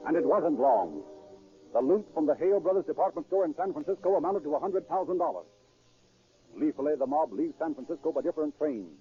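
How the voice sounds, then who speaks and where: A man narrating a true crime radio drama in a dramatic 1930s broadcast style. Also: a little high. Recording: dull, muffled 1930s radio recording.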